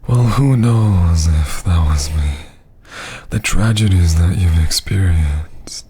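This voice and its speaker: A man voicing a domineering, seductive vampire lord in an intimate ASMR roleplay, with a husky, often half-whispered voice and a teasing, condescending manner. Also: low voice, warm tone, full character voice. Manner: slow, teasing, condescending drawl.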